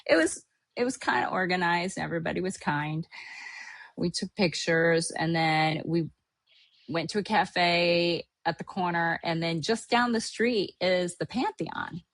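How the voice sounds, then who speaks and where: A woman's voice: lowish voice, measured pace, fairly bright tone, dry recording. A middle-aged woman from Hawaii, chatting casually as a podcast host, rambling with lots of fillers and restarts. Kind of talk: casual chat recapping a trip.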